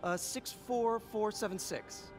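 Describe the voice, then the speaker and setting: An actor playing a young, wisecracking American superhero, earnest and quippy, in a video game cutscene. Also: male voice, high voice, takes it slowly. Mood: anxious, pleading. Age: young adult. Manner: quippy, eager. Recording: loud music behind the voice.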